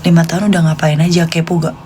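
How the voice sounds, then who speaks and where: A woman's voice: on the low side, little pitch movement, fast, warm tone, soft background music. A woman in her late twenties with a Jakartan Indonesian accent, chatting casually and confidingly to live stream viewers in informal slang. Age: late 20s.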